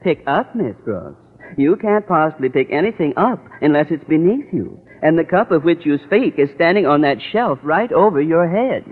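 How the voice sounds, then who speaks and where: An actor playing a fussy, literal-minded school custodian with precise, formal speech in an old-time radio comedy. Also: male voice, a little high, very animated, moderate pace. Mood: pedantic. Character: pedantic, literal-minded male school custodian, proud father. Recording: tinny old radio recording, studio audience.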